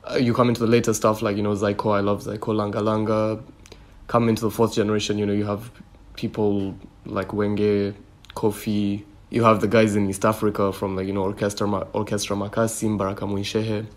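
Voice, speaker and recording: A man from Kenya speaks in a low, even tone that rarely climbs. He sounds relaxed and thoughtful, and often pads his sentences with "you know" as he talks about his craft. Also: brisk. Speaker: musician guest. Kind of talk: interview answers.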